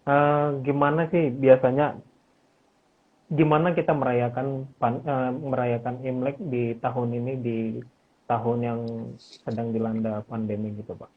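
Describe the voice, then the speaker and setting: A husky man interviews a guest politely, often addressing him respectfully and filling pauses with small hesitations. His voice comes through a thin, phone-like connection. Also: medium pitch, flat delivery. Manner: polite, says "Pak", many fillers. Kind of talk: casual interview. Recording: tinny phone-like audio.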